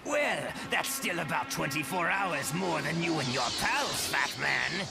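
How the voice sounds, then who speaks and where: A man acting a sneering possessed mutant with a gravelly voice in a motion comic. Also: fairly high pitch, lively intonation, unhurried. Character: sneering possessed mutant in a failing old body. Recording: music behind the voice.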